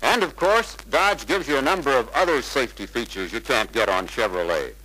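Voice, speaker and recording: A man's voice: medium pitch, animated, a little fast, pushed and bright. A man playing a polite, eager young truck salesman in a 1950s sales film, earnest and persuasive. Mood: friendly, confident. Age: young adult. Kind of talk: 1950s sales pitch acting. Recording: noisy 1950s film soundtrack.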